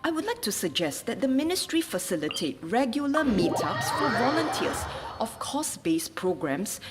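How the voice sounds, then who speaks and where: A woman with a Singaporean accent delivers a formal, measured, prepared speech as a member of parliament. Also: on the high side, very animated, very noisy. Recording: music playing over the speech. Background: music from a video game.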